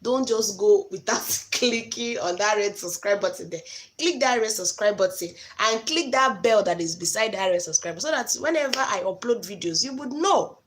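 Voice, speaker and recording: A woman's voice: medium pitch, animated, moderate pace. Nigerian woman, Igbo native speaker, live-stream host, frank and chatty, mid-range voice. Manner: frank, chatty, direct advice to viewers. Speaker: host giving relationship advice. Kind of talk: casual live-stream talk.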